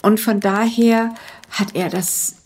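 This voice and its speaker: A woman's voice: mid-range voice, fairly even intonation, slow pace, darkish tone, slight background noise. A woman reminiscing informally in an interview, speaking plainly in short, repeated phrases. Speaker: interviewee recalling an acquaintance.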